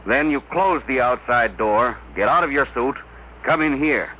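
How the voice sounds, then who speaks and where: An actor playing an earnest, decisive rocket crew leader in a 1950s radio drama. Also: male voice, medium pitch, a little slow, natural voice. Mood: urgent instruction. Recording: noisy, muffled old radio.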